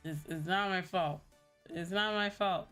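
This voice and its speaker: A woman's voice: on the low side, unhurried, a bit bright, soft background music. A woman livestreamer chatting casually to her viewers in a playful, self-deprecating manner. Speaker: livestreamer, VTuber.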